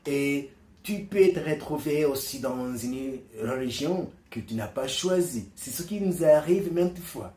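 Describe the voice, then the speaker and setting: A man giving a slow, deliberate reflective monologue, earnest and persuasive, pausing to search for words. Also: mid-range voice, expressive, warm tone, reverberant. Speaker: commentator on religion and culture.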